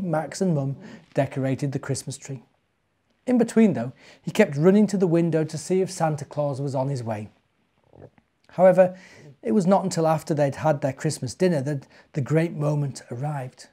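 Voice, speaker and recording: British male storyteller, forties, mellow tone, expressive and deliberate delivery for young children. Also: fairly high pitch. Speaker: church minister reading stories to children. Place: living room, indoors.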